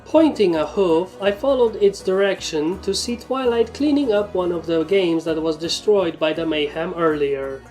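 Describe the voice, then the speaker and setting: A woman with a slightly low voice reads a story aloud as its narrator. She hosts the fan fiction reading herself, and music plays behind her. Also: normal speed, few pauses, darkish tone. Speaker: fan fiction reader and host. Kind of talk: first-person story narration with character voices.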